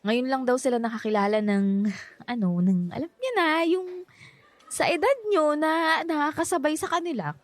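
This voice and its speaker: A woman's voice: high-pitched, normal speed, no room sound, close to an everyday voice. An actress playing a friendly young Filipino woman in a radio drama, speaking politely with a playful, slightly hesitant manner and a Filipino accent. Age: young adult. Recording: background music.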